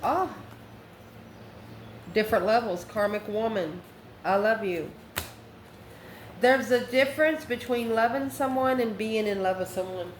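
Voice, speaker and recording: A woman's voice: fairly high pitch, a little slow, long pauses. Woman reading tarot in an echoey, noisy room. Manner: mystical, addresses the listener directly and affectionately. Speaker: tarot reader, host. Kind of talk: casual spoken tarot card reading to camera.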